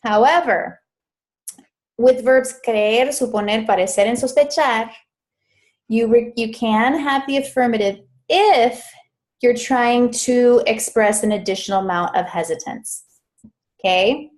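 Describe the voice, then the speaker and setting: A woman's voice: fairly high pitch, unhurried. A woman coaching students in a live online grammar lesson. She is chatty, often stops to correct herself and laughs at small mishaps. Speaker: Spanish interpreting coach.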